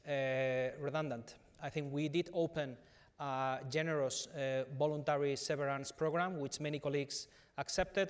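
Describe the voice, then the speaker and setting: A middle-aged man with a Spanish accent speaks at a business conference panel. His voice sits fairly high, and he talks earnestly and emphatically, pausing on fillers and restarting phrases as he goes. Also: clear, resonant tone. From Spain. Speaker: company executive on a conference panel.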